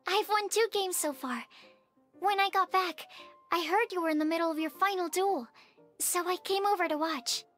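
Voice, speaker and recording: A woman's voice: extremely high pitch, normal speed, soft background music. A woman voicing a polite, earnest and timid young woman in an anime video game, speaking courteously and with some hesitation. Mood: shy, earnest. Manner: courteous, hesitant, soft-mannered.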